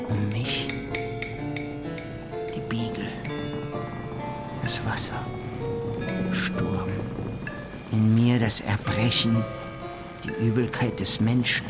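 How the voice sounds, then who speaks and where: A brooding nineteenth-century explorer-scientist in a literary radio drama. His voice is on the low side and unhurried in pace, declaimed in short, measured phrases. Prominent music plays behind him.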